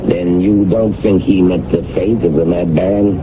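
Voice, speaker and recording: An actor as a very deep, dry radio man. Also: fairly even intonation, normal speed, noisy background, natural voice. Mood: dry, sober. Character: dry, businesslike, skeptical radio show man. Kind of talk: 1970s radio drama acting. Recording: muffled 1970s radio broadcast, music behind.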